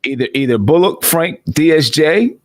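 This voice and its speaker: A man talking as a podcast guest, thinking aloud in a measured way and often restarting his sentences. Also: on the high side, animated, darkish tone, no room sound. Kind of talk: casual sports talk.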